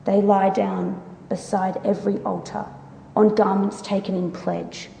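A woman's voice: mid-range voice, level tone, a little slow, gravelly, dark tone, a little hiss or hum. A woman giving a solemn, declamatory dramatic reading of scripture as a church member. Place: large reverberant room. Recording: echoey hall with long reverb.

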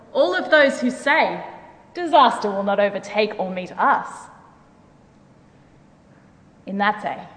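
A woman's voice: a little high, lightly acted. A woman playing the stern, commanding voice of God in a declamatory dramatic scripture reading. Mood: stern, wrathful. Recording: echoey hall with long reverb.

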